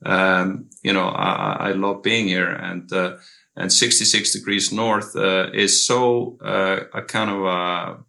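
Gravelly Icelandic businessman, bright tone. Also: sits low, fairly even intonation. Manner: measured, with many hesitations and restarts. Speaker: company owner, guest. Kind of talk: casual interview conversation.

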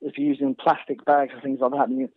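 A man's voice: medium pitch, little pitch movement, quick pace, no room sound. Man from North Wales on a phone line. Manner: rambling with many restarts. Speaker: guest hunter and filmmaker. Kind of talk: casual interview chat.

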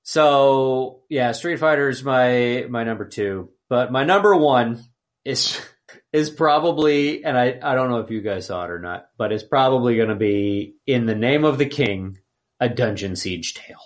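A man co-hosting a podcast, giving casual opinions in an exasperated, halting, repetitive way. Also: mid-range voice. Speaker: podcast co-host. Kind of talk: casual chat, opinionated commentary.

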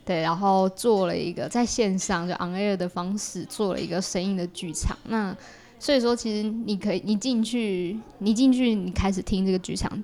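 A woman speaks with a Taiwanese Mandarin accent in a medium-pitched voice. She sounds like a guest artist in a casual conversation, explaining things step by step. Her phrasing is halting, and she often rewords as she goes. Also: a little mellow. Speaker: podcast guest, artist and creator of an audio drama.

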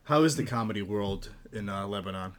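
A man's voice: on the low side, brisk. A man hosting a podcast, asking questions in a casual interview style. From Greece.

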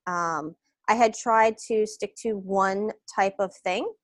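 Relaxed New woman guest. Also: medium pitch, slow, no room sound, studio quality. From New York. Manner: relaxed, meandering, hesitant with fillers. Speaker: guest, wellness coach. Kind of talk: casual interview.

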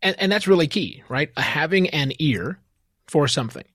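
A man's voice: on the high side, expressive, normal speed, fairly bright tone, breathy edge, no room sound, clean studio sound. A man hosting a podcast, speaking in a conversational interview style.

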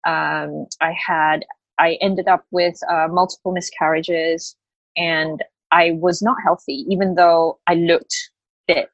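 A woman's voice: lowish voice, flat delivery, slow, no room sound, muffled recording. A woman in her late thirties who grew up in Australia, a health coach talking in a podcast interview, hesitant with many fillers and restarts. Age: late 30s.

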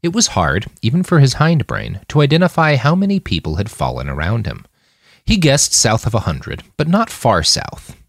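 A man's voice: medium pitch, huge pitch swings, normal speed, dry recording, studio quality. A man reading scripted fiction as a dramatic audiobook narrator, acting out the dialogue. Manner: dramatic, acts out character dialogue. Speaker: author reading his fiction podcast.

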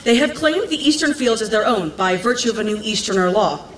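A woman's voice: on the high side, lively intonation, fairly quick, close to an everyday voice. A woman playing an earnest, resolute young village messenger, giving a formal public report in an audio drama. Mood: earnest, urgent. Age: young adult. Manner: formal, declarative public speaking. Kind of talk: scripted audio drama acting. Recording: echoey, faint background noise.

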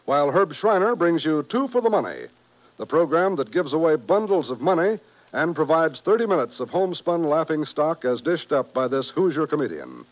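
A man's voice: on the high side, sing-song, normal speed, no echo, rough recording. An American man announcing in formal, polished 1950s network radio style, reading scripted promotions.

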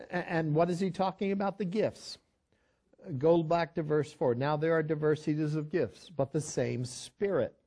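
A man's voice: mid-range voice, mellow. An older man, a pastor, teaching a Bible study in a conversational sermon style, telling a story earnestly with frequent restarts.

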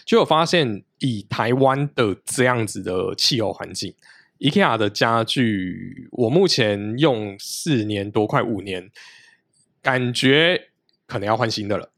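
Low-voiced man, chatty guest. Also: lively intonation, a little slow, vocal fry, fairly bright tone, no echo, clean studio sound. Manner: explains at length with frequent restarts and fillers. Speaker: podcast guest. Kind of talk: casual conversational interview.

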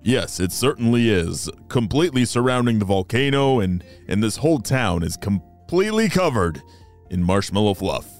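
A storyteller voicing a calm, authoritative male spy team leader who gives deliberate, reassuring instructions in a children's adventure tale. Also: medium pitch, soft background music. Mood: calm, instructing.

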